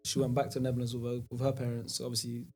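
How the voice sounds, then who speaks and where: A man's voice: medium pitch, monotone, very fast, darkish tone, faint music behind. A man, a podcast guest talking casually and restarting his sentences often. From England. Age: 20s. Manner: rambling, hesitant with many restarts. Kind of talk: casual chat.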